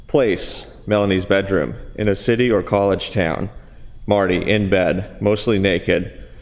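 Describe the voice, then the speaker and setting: A man's voice: sits low, steady tone, unhurried. A man narrator reading scripted stage directions at a staged reading in a theatre. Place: theatre hall. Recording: reverberant hall.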